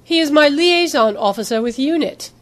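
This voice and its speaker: A woman's voice: fairly high pitch, expressive, moderate pace, lightly acted. A woman voicing a haughty, annoyed female professor in an audiobook story, formal and cutting.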